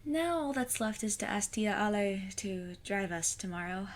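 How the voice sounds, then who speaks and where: Woman playing a lively, earnest, plucky teenage girl. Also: medium pitch, moderate pace, natural voice. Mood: matter-of-fact. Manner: earnest, literal, matter-of-fact. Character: plucky, earnest fifteen-year-old girl, would-be witch's apprentice. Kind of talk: audio drama acting.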